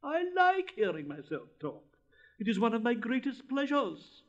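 A man's voice: very high-pitched, huge pitch swings, normal speed, voice pushed up, full character voice. A man voicing a vain, pompous male firework rocket in a storybook reading, drawing out his words with haughty self-importance. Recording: dull lo-fi recording.

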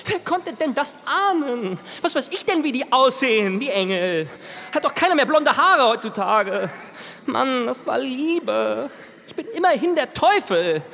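A lovesick devil in a radio play, very high and whiny. He is irritable and full of self-pity, in a long reverb with faint noise behind. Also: sing-song, unhurried, creaky, bright, forceful tone, exaggerated voice. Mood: defensive, pleading.

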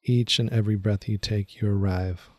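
A man leading a guided meditation in a soothing, unhurried way, speaking in short phrases with long pauses. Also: on the low side, steady tone, a little mellow, no room sound, dull audio.

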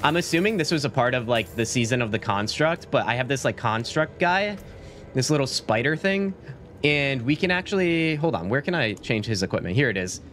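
A man in his thirties streaming a game from a small home room on a desk mic, chatting casually and rambling, his voice a little deeper from being sick. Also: expressive, brisk, a bit bright, slight background noise. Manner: laid-back, rambling, says "like" and "dude" a lot. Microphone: studio mic at desk, webcam framing. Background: game music.

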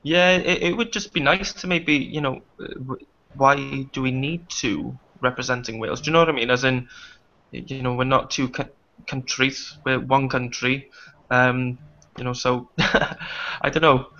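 A man's voice: medium pitch, steady tone, a little slow, bright, forceful tone. Hesitant young man on a muffled video call. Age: young adult. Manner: hesitant, many fillers and restarts. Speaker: performer and writer. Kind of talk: casual panel discussion. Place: small dim room indoors. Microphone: laptop webcam video call.

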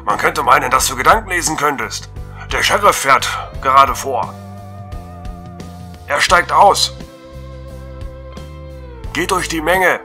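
An actor playing a nervous reporter calling in a strange scene in a radio drama. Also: male voice, fairly high pitch, animated, takes it slowly, long pauses, bright, forceful tone, soft background music, heavily acted. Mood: alarmed. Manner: tense, alarmed. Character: nervous male reporter on a rooftop. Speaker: field reporter.

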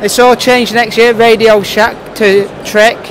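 High-voiced man interviewing, noisy background. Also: level tone, measured pace. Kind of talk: casual interview chat. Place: indoor trade show. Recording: noisy trade show hall, background ambience.

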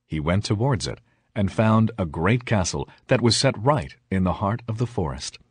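Guy reading a fairytale, low kinda husky voice, really dry close sound. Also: expressive, normal speed, no room sound. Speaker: storyteller. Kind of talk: scripted fairy tale narration.

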